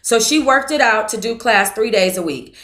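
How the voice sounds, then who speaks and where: A woman's voice: on the high side, animated, moderate pace, a bit bright. A woman hosting a book club reads a memoir aloud in the first person and stops now and then to thank listeners and comment. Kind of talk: reading a memoir aloud with asides.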